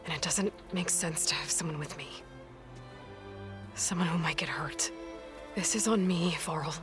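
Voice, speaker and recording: An actress playing a driven, solitary young outcast huntress in a video game, speaking in an earnest, halting and intense way. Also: female voice, low voice, over music. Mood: grave, resolute. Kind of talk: naturalistic video game acting.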